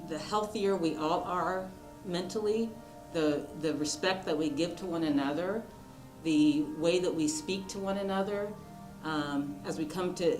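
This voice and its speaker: A woman speaking in a measured, earnest way, giving thoughtful interview answers. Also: low voice. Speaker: interviewee on mental health and diversity. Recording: roomy echo, music underneath. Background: background music.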